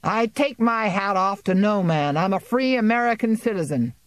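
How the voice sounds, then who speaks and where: A reader voicing a defiant male tenant farmer of about thirty who speaks harshly and shouts in anger. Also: mid-range voice, expressive, moderate pace, dry recording, voice pushed up, natural voice. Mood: furious. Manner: shouting, harsh and rough-spoken.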